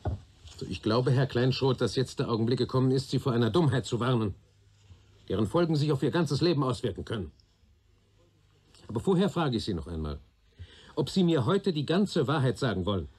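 An actor playing a shrewd, patient police investigator in a German radio play, probing and deliberate, with a dry sardonic authority. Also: male voice, mid-range voice, animated, darkish tone, husky. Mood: stern, warning. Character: shrewd, patient male police detective questioning a young man.

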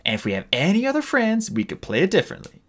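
A man's voice: high-pitched, huge pitch swings, fast talker, voice pushed up, exaggerated voice. A man doing a bossy young female dragon. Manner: bossy, insistent.

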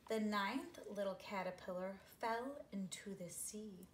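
Woman reading to children, roomy sound. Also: medium pitch. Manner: expressive, drawn-out phrasing, asking young listeners questions. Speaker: read-aloud storyteller for children. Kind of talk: children's picture book read-aloud. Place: small living room, seated on a couch. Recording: roomy home recording with background noise.

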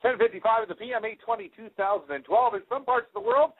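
A man's voice: high voice, fast, husky. A man in his forties hosting a radio show, casually rambling through announcements with frequent hesitations on a headset mic. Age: 40s. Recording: phone-like, band-limited internet radio sound.

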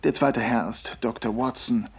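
Radio-play police inspector: husky, medium-pitched, polite and officious. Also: male voice, steady tone, normal speed. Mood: formal. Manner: official, polite, somewhat fussy. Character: formal, courteous male police inspector. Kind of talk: audio drama acting.

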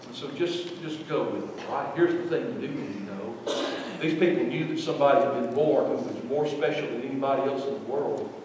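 A middle-aged man preaching a sermon, swinging between loud, emphatic lines and soft ones. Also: medium pitch, brisk, background noise. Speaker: pastor. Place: large church sanctuary. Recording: echoey hall, voice from far off.

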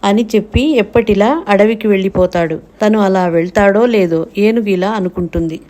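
A woman's voice: medium pitch, moderate pace, dark tone. A woman giving scripted narration of a children's story.

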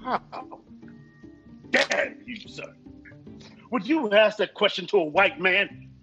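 A blustering, boastful store manager with a forceful, very animated delivery. He is blunt and emphatic, in a comedy read with dull sound. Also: high-pitched, slow pace, faint music behind, heavily acted. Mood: indignant. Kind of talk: live comedy script read.

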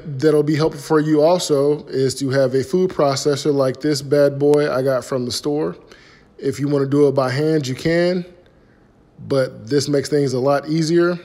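A middle-aged man with an American accent talks in a fairly low-pitched, easygoing way. He walks through things step by step like an informal home cook hosting his own vlog. His voice rings with long reverb, as if caught on a phone in a hard-surfaced kitchen. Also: a little mellow. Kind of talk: casual recipe walkthrough to camera. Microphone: phone at arm's length.

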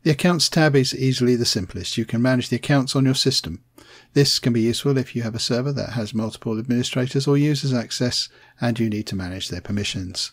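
A man reading a scripted software tutorial voice-over, plain and steady, spelling out commands slowly. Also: medium pitch. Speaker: tech tutorial narrator.

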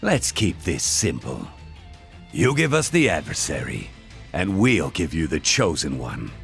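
An actor playing an arrogant, menacing warlord in a video game, taunting in a drawling, mock-polite way. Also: male voice, extremely low pitch, very animated, a little slow, creaky, fairly bright tone, soft background music, full character voice. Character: arrogant, menacing male warlord of an invading army.

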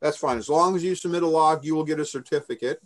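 An older man with a fairly high-pitched voice presents informally. He explains in a conversational way, taking questions over a video call. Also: fast. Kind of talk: informal briefing and question answering. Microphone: video call microphone.

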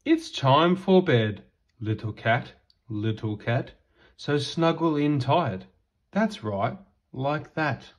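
A man reading a children's bedtime picture book aloud in a gentle, singsong rhythm. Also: mid-range voice, lively intonation, slow pace.

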